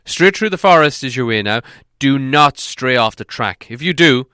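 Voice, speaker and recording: A commanding old wizard, acted by a male storybook reader, bright and clear, pitched a little above the reader's own voice. He lectures emphatically, stern but with good cheer, in a close, dry sound. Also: animated, normal speed, no room sound. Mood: stern warning.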